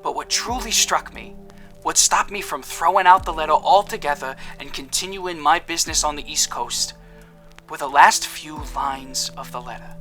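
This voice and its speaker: An actor playing a theatrical, grandiose quack doctor who lectures with old-fashioned airs in an audio drama. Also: male voice, on the high side, normal speed, sharp tone, soft background music. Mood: dramatic reminiscing. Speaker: shopkeeper healer.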